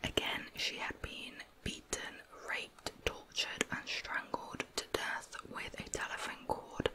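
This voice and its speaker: A woman narrating true crime in ASMR style, in a hushed, breathy, unvoiced whisper with measured storytelling. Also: poor audio.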